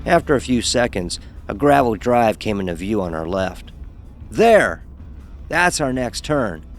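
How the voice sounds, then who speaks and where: A man's voice: fairly low pitch, sing-song, unhurried, soft background music. A man playing a sarcastic young fraternity pledge who narrates his own story in an audio horror drama, wry and sardonic. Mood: wry storytelling. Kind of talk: scripted first-person horror narration with dialogue.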